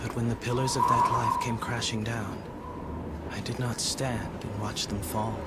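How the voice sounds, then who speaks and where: An actor playing an earnest, idealistic young noble knight in a game cutscene, speaking in formal old-fashioned lines over loud background noise. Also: male voice, medium pitch, steady tone, unhurried, lightly acted. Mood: reflective.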